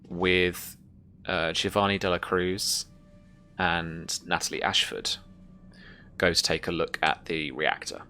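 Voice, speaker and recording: A woman narrating a tabletop sci-fi horror game as game master, halting as if searching through notes. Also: a bass voice, bright, forceful tone, slight background noise.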